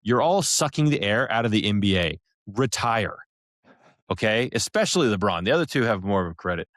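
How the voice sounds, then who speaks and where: A man's voice: lowish voice, animated, fairly quick, fairly bright tone, no room sound, clean studio sound. An American man co-hosting a podcast in casual chat, opinionated and emphatic in the way he talks. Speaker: podcast co-host.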